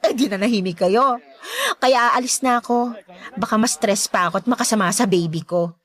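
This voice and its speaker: A woman's voice: on the high side, fairly quick, fairly bright tone. A woman playing a spiteful, boastful love rival in a radio drama, with a haughty, taunting manner. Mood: smug. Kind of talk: Tagalog radio drama acting.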